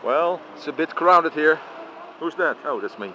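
Man, Dutch accent, casual walking commentary, handheld camera mic, outdoor noise, fairly high pitch. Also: expressive, unhurried, bright, forceful tone. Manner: chatty, chuckling, exclaims often. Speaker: vlogger filming with handheld camera. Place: outdoors at a busy bike parking by a street. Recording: noisy outdoor street recording.

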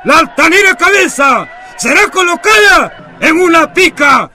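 An actor playing a stern colonial official, declaiming solemnly like a town proclamation in a radio drama. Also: male voice, extremely high pitch, animated, unhurried, bright, forceful tone, shouting, some chatter behind, heavily acted. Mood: stern, proclaiming.

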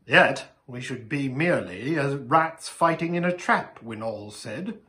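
Storybook young king acted by a man: noble, resolute, formal, forceful, animated. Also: mid-range voice, a little slow. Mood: grim resolve. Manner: formal, heroic.

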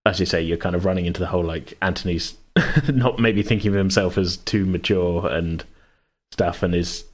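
Male game master, deep voice, informal podcast chat, loose and rambling delivery. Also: moderate pace. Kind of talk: casual chat about a tabletop game.

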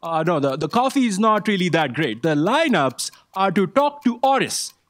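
A man's voice: sits high, big pitch swings, moderate pace, a bit bright, no echo, clean studio sound. A middle-aged man playing a nervous, deferential office aide in a staged comedy audio drama, hesitant and stammering. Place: theatre stage. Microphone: stand microphone.

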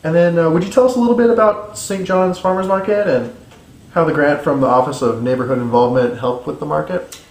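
A man's voice: fairly high pitch, fairly quick, a little mellow, echoey room, poor audio. A man politely reading interview questions.

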